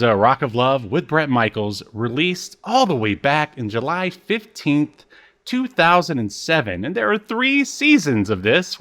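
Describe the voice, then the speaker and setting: A man co-hosting a podcast, chatting casually about television in halting, hesitant phrases. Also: mid-range voice, a bit bright. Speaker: podcast co-host. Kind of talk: casual chat about a TV show.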